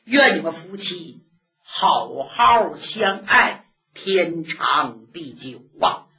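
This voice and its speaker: A sighing, motherly old nun, as a woman storyteller puts her on, with a bright, lively voice that swoops up and down. She talks slowly and chattily. The recording is dull and lo-fi. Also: on the low side, heavily acted. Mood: tender.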